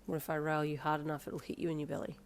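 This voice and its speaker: A woman voicing a gruff, grumpy young male athlete who answers in low, clipped replies. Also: little pitch movement, racing, natural voice.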